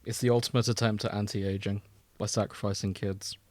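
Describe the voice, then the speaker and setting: A man's voice: fairly low pitch, level tone, a little fast, a little mellow, no room sound. Wry man chatting, dry close sound. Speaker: podcast co-host. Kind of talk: casual chat about a TV series.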